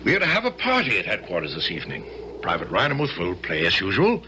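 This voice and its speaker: An actor playing a jovial, domineering middle-aged Hessian colonel in a radio drama, hearty, condescending and commanding. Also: male voice, low-pitched, huge pitch swings, quick pace. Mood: indulgent. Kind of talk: old-time radio drama acting. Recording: noisy vintage radio drama recording.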